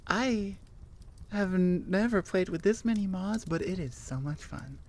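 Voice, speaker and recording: Woman creator just chatting, kinda low voice, takes her time, enthusiastic and rambly. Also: animated, measured pace, darkish tone. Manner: informal, enthusiastic, digressive. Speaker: audio roleplay creator. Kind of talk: casual rambling outro chat.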